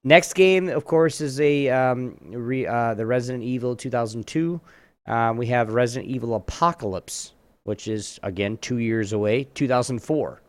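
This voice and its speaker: A man hosting a trivia game in a casual chat, often halting and restarting his phrases. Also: mid-range voice, no echo. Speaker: podcast host running a trivia game.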